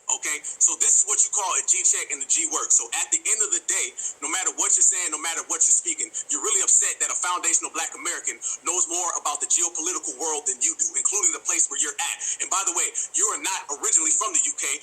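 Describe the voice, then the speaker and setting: A man from the US deep south, a podcast co-host, heatedly grilling and mocking a caller in a live audio room. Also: expressive, pushed and bright, faint music behind, poor audio. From Georgia, US deep south. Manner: mocking, interrogating, rapid-fire.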